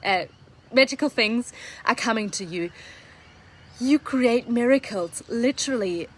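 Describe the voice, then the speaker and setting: Non-native woman with a German first language, raised voice, slow, enthusiastic coaching monologue. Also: a little high, expressive. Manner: earnest, enthusiastic, many fillers. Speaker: podcast coach. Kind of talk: live video monologue.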